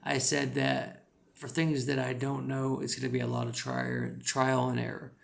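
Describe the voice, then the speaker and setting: Gravelly rural American man rambling advice. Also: medium pitch, flat delivery, normal speed. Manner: rambling, full of "you know" fillers. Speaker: English teacher giving career advice. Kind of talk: casual advice vlog.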